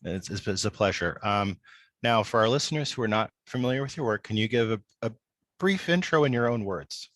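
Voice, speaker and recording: A man's voice: lowish voice, lively intonation, fairly quick, a bit bright, dry recording. A man hosting a podcast, introducing and interviewing in a casual talk style. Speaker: podcast host.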